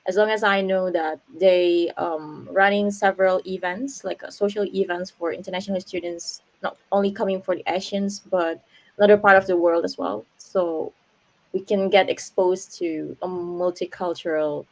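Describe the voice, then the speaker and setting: A woman's voice: fairly low pitch, steady tone, normal speed, low-quality recording. Hesitant Indonesian woman on a video call. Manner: hesitant, many ums and likes. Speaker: international student guest. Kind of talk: casual online interview answers.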